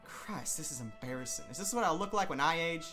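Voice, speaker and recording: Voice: medium pitch, lively intonation, fairly quick, music in the background, voice pushed up, lightly acted. Narrator putting on a cranky, swearing old grandfather. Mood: blunt, cranky. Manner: blunt, grumbling, profane.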